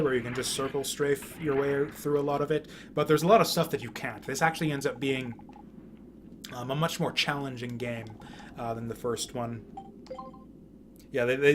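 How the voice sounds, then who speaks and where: A man giving casual, rambling video game commentary, often halting and repeating words as he goes. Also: medium pitch. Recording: clear background noise.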